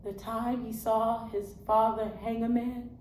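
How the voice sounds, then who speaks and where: A woman acting a dramatic stage monologue as a weary, confessional angel who was once a poor woman, speaking slowly and with deep feeling. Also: level tone, darkish tone, close to an everyday voice. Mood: sorrowful confession. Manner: slow, heartfelt, deliberate delivery. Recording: roomy echo, faint background noise.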